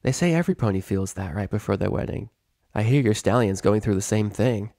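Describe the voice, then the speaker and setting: A man's voice: on the low side, a little fast, mellow, dry recording, studio quality. A man voicing a cocky, easygoing middle-aged female pegasus pony who brags casually, in a storybook reading. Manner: breezy, bragging, casual.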